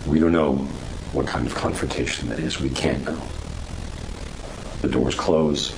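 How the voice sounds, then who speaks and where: Man acting as ominous possession investigator, very low bass voice, matter-of-fact horror drama monologue, noisy ambience. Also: steady tone. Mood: ominous, grave. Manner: grave, measured, speculative. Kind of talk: first-person horror audio drama monologue. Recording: loud rumbling ambient noise behind.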